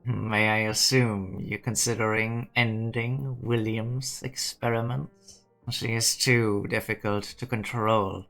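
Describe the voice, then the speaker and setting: A man playing a cold, logical, condescending scientist in a fan dub, with a measured, cynical manner. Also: on the low side, fairly even intonation, fairly bright tone, soft background music. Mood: skeptical. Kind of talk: dramatic fan-dub voice acting.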